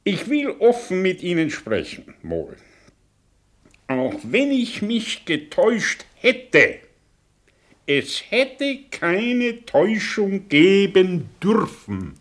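Slow, theatrical aging intellectual. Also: male voice, high voice, huge pitch swings, heavily acted. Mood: evasive. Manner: theatrical, rhetorical, with dramatic pauses and sudden swells. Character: aging, theatrical, sardonic man, brilliant fallen intellectual confessing his past. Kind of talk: German radio drama acting.

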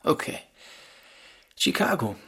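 An actor playing a sullen, needy thirteen-year-old country boy in a German audio drama, with a husky voice. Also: slow pace, fairly bright tone. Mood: questioning, sulky.

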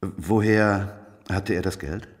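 An actor playing a calm, matter-of-fact detective inspector in a radio drama, businesslike and quietly stubborn. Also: male voice, low-pitched, breathy edge, exaggerated voice. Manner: measured, businesslike questioning. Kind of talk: German radio drama acting.

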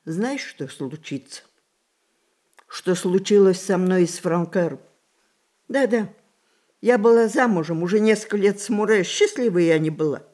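An actress playing a spirited, rebellious centenarian matriarch, romantic and commanding, in a theatrical radio play style. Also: female voice, medium pitch, lively intonation, normal speed, pauses often, a little mellow, natural voice. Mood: reminiscing. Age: older.

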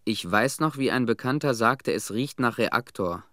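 An actor playing an ordinary townsman who survived a disaster, giving earnest, embittered testimony in a solemn, deliberate radio drama monologue. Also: mid-range voice, expressive, bright, forceful tone, dry recording, studio quality. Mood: wounded, solemn.

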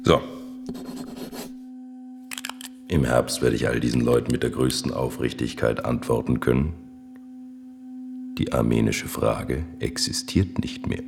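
An actor in a radio drama playing a ruthless minister, a cold schemer who speaks with cynical self-assurance. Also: male voice, a bass voice, normal speed, creaky, background noise. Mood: smug. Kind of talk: German radio drama acting.

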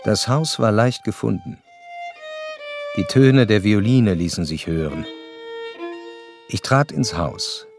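An actor playing an educated, observant narrator in a literary radio play, speaking in a measured, precise and wry way. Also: male voice, fairly low pitch, creaky. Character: educated, curious male narrator, observant and wryly detached. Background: violin music.

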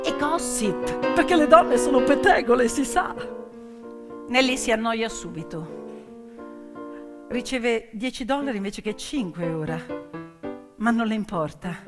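A woman stage storyteller narrating dramatically, with emphatic, short, suspenseful phrases. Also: on the high side. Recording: reverberant hall with piano music. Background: piano music.

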